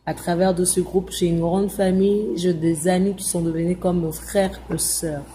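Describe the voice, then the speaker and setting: Woman, low and flat, unhurried; tender, repetitive voice note. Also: a little hiss or hum, rough recording. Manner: soft, affectionate, repetitive. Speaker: group member. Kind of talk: casual voice message.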